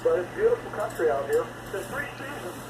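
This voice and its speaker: Over a noisy, echoey shortwave link, an American man rambles in casual ham radio talk. His voice is on the high side, and his manner is folksy and wry. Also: sing-song, brisk. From West Coast of the United States. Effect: heard over shortwave radio. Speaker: amateur radio operator.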